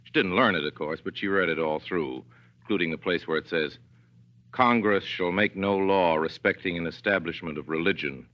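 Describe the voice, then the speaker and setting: A man giving measured, folksy scripted narration for a 1940s radio drama. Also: low voice. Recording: dull 1940s radio broadcast.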